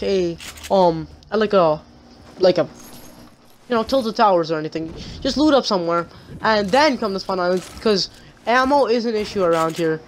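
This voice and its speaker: A sick gamer with a sore throat gives a raspy, rambling walkthrough of a game glitch. The voice sits at a middling pitch and carries a faint hiss of background noise. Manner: rambling, chatty explaining. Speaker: gaming channel host. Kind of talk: gameplay tutorial commentary.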